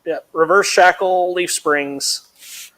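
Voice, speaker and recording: A man's voice: high voice, steady tone, slow, a bit bright, rough recording. A man co-hosting a live stream in casual chat, laid-back and blunt, chuckling often.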